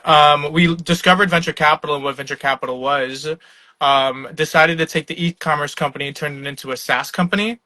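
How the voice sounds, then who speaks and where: Bright-voiced young man on a call. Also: fairly high pitch, dry recording. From New York. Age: young adult. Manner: laid-back, filler-heavy, laughs often. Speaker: startup founder, guest. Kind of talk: casual conversational interview. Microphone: online live video call.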